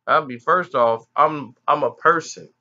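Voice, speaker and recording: A man's voice: on the high side, a little slow, pushed and bright, muffled recording. A man giving indignant, emphatic commentary on an interview clip. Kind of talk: reaction commentary.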